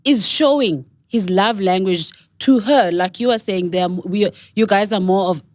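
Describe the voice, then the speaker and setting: Podcast panelist, woman, measured and thoughtful, mid pitch, dry close recording. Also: no room sound. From South Africa. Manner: measured, reasoning, hesitant restarts. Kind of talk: casual chat.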